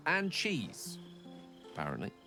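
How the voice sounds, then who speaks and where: Lively, wry ancient sage. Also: male voice, mid-range voice, measured pace, music in the background. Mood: wry, amused. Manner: wry, learned, theatrical. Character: wry, ancient male sage, thirteen centuries old. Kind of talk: dramatic video game acting.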